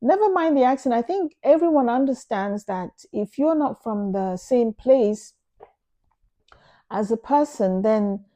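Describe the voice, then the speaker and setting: A Nigerian woman, a native Igbo speaker, talking to camera in an earnest, emphatic way, explaining her views at a measured pace. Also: fairly high pitch, animated, mellow. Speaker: vlogger. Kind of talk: opinion monologue to camera.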